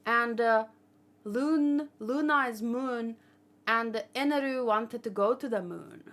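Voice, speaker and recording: Female livestream host, on the higher side in pitch, informal discussion style, opinionated and reasoning as she goes. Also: slow, a bit bright. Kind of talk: casual chat, manga discussion and commentary.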